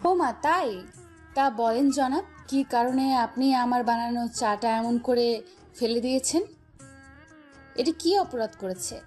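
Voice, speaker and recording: A woman's voice: a little high, measured pace, long pauses, a little mellow, soft background music, voice pushed up, close to an everyday voice. A woman voicing an affectionate, teasing young wife in a romantic audio story, playful and tender in manner.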